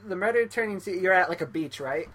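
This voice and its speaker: A man's voice: high voice, animated, fast, a bit bright. A young man co-hosting a podcast, chiming in with short reactions, questions and laughter in casual chat. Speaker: podcast co-host.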